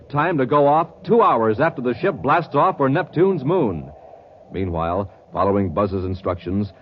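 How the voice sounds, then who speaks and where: A man announcing and narrating in 1950s radio style, punchy and theatrical. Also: lowish voice. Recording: muffled, hissy old radio recording.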